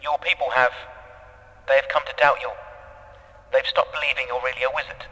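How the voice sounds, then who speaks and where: Nervous palace guardian, bright forceful voice. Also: male voice, medium pitch, flat delivery, brisk, in a large hall, rough recording. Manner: hesitant, obsequious. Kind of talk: full-cast fantasy audio drama acting.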